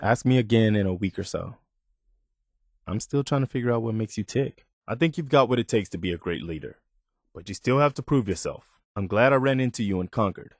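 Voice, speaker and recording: Earnest low-voiced militia man. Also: lively intonation, brisk, dry recording, clean studio sound. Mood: sincere, earnest. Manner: sincere, respectful, soldierly. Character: earnest, loyal militia lieutenant, a soldierly man with weary hope. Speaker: companion character in a video game. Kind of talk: video game voice acting.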